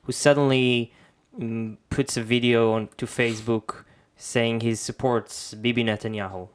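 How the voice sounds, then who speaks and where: A man co-hosting a podcast, asking quick questions in casual chat. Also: medium pitch, steady tone. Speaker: podcast co-host.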